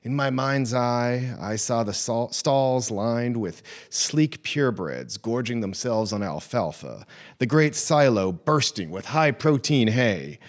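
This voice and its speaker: A man with a mid-pitched voice performs a wry literary reading for a live program. His delivery is theatrical, leaning hard into some phrases and letting the ends of others drop away. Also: expressive, slow pace. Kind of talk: dramatic reading of a humorous memoir.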